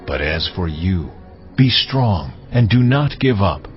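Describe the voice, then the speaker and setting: Solemn biblical prophet, very slow deliberate oratory, lowish voice, audio drama with music behind. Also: male voice, sing-song, heavily acted. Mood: grave, encouraging. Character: solemn Hebrew prophet exhorting a king and people. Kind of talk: dramatized audio Bible acting. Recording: dull lo-fi sound, music behind.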